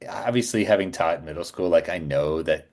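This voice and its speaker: Man around forty, deep voice, casual interview chat, halting, reflective. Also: darkish tone. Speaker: podcast guest, voice actor.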